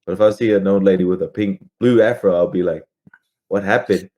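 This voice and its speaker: A man's voice: sits low, steady tone, a little fast, dark tone. A man co-hosting a podcast in a slangy, informal, chatty way. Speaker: podcast co-host. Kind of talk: casual chat.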